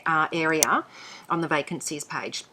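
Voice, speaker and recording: A woman, answering interview questions as a training representative in a measured, explanatory way. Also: low-pitched, steady tone, background noise. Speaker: air traffic control training representative.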